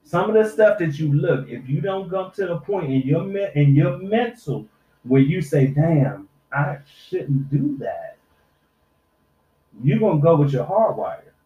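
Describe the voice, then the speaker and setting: A man's voice: a little high, very animated, measured pace. A man in his forties, a podcast co-host, lecturing younger people with profane life advice in casual chat. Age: 40s. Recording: roomy, dull lo-fi sound.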